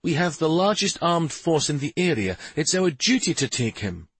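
A man voicing an angry, commanding prince bent on justice in a fantasy audiobook, speaking forcefully. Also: sing-song, moderate pace, husky, dry recording, full character voice. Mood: righteous anger. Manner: forceful, commanding, low intense speech. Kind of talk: fantasy audiobook character dialogue.

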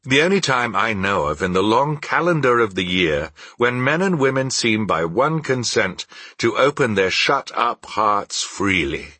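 A man voicing a cheerful, warm-hearted young nephew in a storybook reading, earnest and good-natured in manner. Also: on the low side, slightly rough, no room sound, pitched above the performer's own voice, exaggerated voice.

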